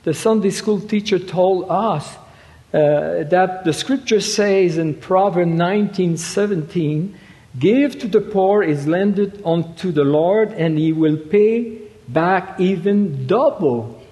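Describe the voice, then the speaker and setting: A preacher voicing an eager, delighted little boy in a story, speaking haltingly. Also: high-pitched, dark tone. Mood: excited. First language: French. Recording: long hall reverb.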